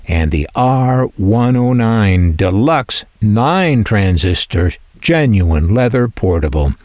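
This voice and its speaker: A man presenting and reading catalog copy aloud as scripted narration, in a deliberate, itemized way. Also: medium pitch, no room sound, studio quality.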